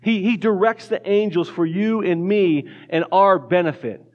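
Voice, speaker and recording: A man's voice: sits high, measured pace, muffled recording. A man preaching a casual sermon in a church, joking lightly and often restarting his words. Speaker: pastor. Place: church sanctuary.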